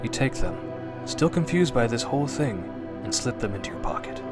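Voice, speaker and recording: A man narrating a scripted story in the second person, in an expressive, suspenseful old-time radio drama style. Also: medium pitch, moderate pace. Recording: narration over prominent background music.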